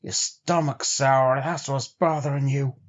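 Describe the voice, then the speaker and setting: A man's voice: medium pitch, steady tone, unhurried, dull audio, lightly acted. A man voicing an irritable, sleepy frontier sled driver with folksy speech, in a storybook reading. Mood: sleepy, dismissive.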